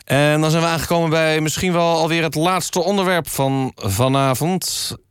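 A man's voice: on the high side, steady tone, moderate pace, clean tone, no room sound. A man presenting a mock-serious radio magazine and interviewing guests from a studio.

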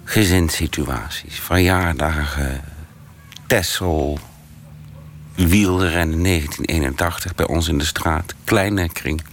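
An actor playing an earnest, self-important artist in a radio comedy, speaking in halting fragments with a deadpan delivery. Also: male voice, a bass voice, slight background noise, exaggerated voice. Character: earnest, self-important male artist recounting his oeuvre. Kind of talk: deadpan mock-documentary monologue.

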